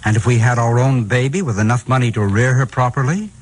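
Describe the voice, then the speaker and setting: Actor as a husky, calculating, placating husband. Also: male voice, mid-range voice, quick pace, lightly acted, poor audio. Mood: persuasive. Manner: placating, persuasive. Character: smooth-talking, calculating husband feigning reasonableness. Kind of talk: 1950s radio drama acting.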